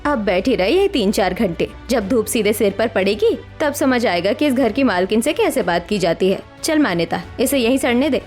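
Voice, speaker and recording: A woman's voice: a little high, animated, moderate pace, few pauses, a little mellow, soft background music, close to an everyday voice. A woman playing a cruel, middle-aged mother-in-law in a cartoon story, scolding and giving commanding orders. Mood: angry, threatening. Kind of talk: dramatic Hindi cartoon story acting.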